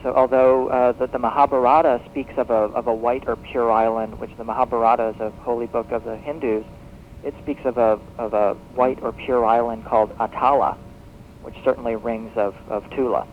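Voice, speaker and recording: A man's voice: medium pitch, fairly even intonation. Man explaining over tinny shortwave radio. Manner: halting, expository, repeats words as he explains. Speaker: guest expert on a radio show. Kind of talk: explanatory radio interview talk. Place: radio broadcast, taped off air. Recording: thin, noisy shortwave radio broadcast on cassette.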